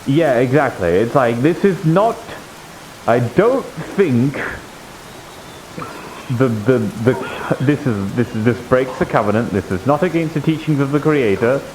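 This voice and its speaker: A man playing an earnest, bookish holy knight in online roleplay, speaking haltingly and easily flustered. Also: mid-range voice, animated, noisy background, close to an everyday voice. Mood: uneasy, confiding. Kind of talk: improvised online roleplay chat.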